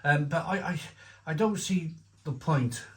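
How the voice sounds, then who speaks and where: A man's voice: on the high side, unhurried. A man from Wales, giving a chatty, rambling beer review to camera with frequent restarts and asides.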